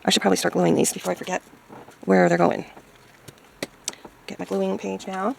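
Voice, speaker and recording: A woman chatting casually as she crafts, thinking aloud hesitantly in a hoarse, gravelly voice. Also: mid-range voice, level tone, normal speed, a little hiss or hum, low-quality recording. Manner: hesitant, musing to self. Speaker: craft video maker.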